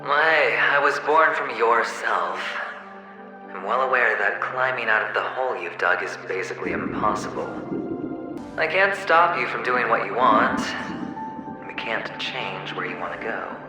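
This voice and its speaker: An actor playing a smooth, theatrical, world-weary young gambler in a video game, speaking deliberately with dramatic pauses. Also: male voice, medium pitch, lively intonation, natural voice. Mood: mocking. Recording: heavy echo, long reverb, music underneath. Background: music.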